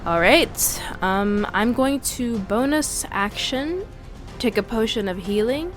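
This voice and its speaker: A woman's voice: on the high side, lively intonation, takes it slowly, faint music behind. A woman playing a tabletop game, casually describing what her character does.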